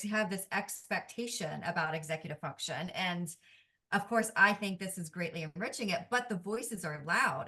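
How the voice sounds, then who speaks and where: A woman speaks as a guest in a casual conversation, earnest and reflective. Her voice is bright and forceful, and she builds her points in short phrases. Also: mid-range voice. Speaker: guest, executive function coach and doodle artist.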